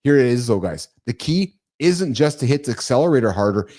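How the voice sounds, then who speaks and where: A middle-aged man hosting a live morning talk show, speaking casually and with animation, often addressing his listeners as guys. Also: a little fast. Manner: animated, calls listeners guys, jumps in pitch.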